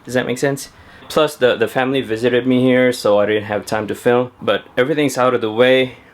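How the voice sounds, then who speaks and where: A man's voice: mid-range voice, fairly even intonation, brisk. A young man talking casually to camera at home about his sneakers, chatty and laid-back with lots of fillers. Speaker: sneaker vlogger. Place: at home indoors.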